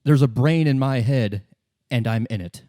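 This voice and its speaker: A man's voice: mid-range voice, normal speed, mellow, dry recording, studio quality, lightly acted. A miserable amnesiac man with a hangover, played by a man, laments his own head. He is despairing and dramatic, in the manner of a tabletop radio play.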